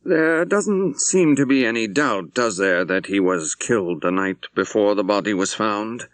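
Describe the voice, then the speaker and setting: Guy doing a worried old-school bishop for an audiobook, formal and hesitant, kinda low, very dry sound. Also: expressive, measured pace, no room sound. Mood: careful, troubled. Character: troubled, careful senior male bishop.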